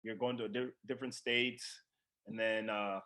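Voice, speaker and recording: A man's voice: fairly low pitch, little pitch movement, moderate pace, bright, forceful tone. A young man from the Democratic Republic of Congo speaking casually as an interviewed professional athlete. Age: young adult. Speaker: professional soccer player being interviewed.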